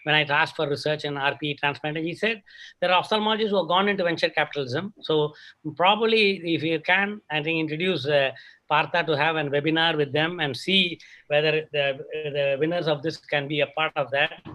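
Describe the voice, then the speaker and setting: A man's voice: fairly high pitch, a little fast, bright, forceful tone, poor audio. A man from India, a doctor, making rambling, run-on comments in an online webinar. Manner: rambling, loose run-on remarks. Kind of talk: online panel discussion. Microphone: computer mic on video call.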